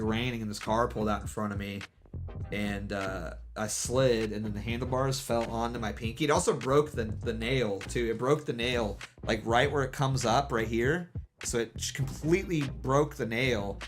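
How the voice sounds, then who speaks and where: A man streamer chatting casually with his viewers in a rambling, defiant way, with lots of "like" and restarts. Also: medium pitch.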